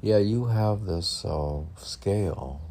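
A man casually reading poetry and chatting about it in a halting, offhand way. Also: deep voice, darkish tone, a little hiss or hum.

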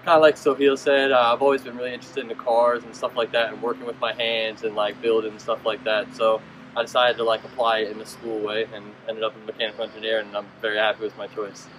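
A man's voice: fairly low pitch, little pitch movement, fast, background noise. A young man from Virginia, a graduating engineering student, casually describes his interest in hands-on building. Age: young adult.

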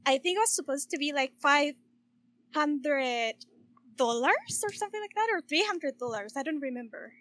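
A woman from Chile, chatting casually and informally in a halting way as a podcast co-host. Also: high-pitched, expressive, a bit bright, dry recording. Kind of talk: casual chat.

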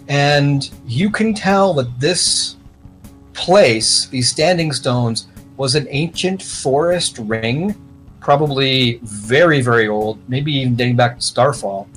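A man runs a tabletop role-playing game as game master, mid-pitched and matter-of-fact. He improvises his narration and explains as he goes, with soft guitar music underneath. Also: lively intonation, slow pace, low-quality recording. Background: plucked guitar music.

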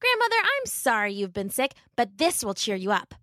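A woman's voice: on the high side, wildly swooping pitch, moderate pace, sharp tone, no room sound, clean studio sound, heavily acted. A woman voicing a polite, innocent young girl with a childlike, cheerful manner in a children's story. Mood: concerned.

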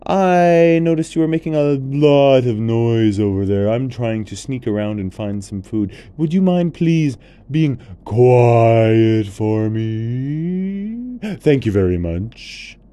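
Sneaky hungry tiger, polite and fussy, slow measured speech, as a man puts him on. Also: medium pitch, animated, dark tone.

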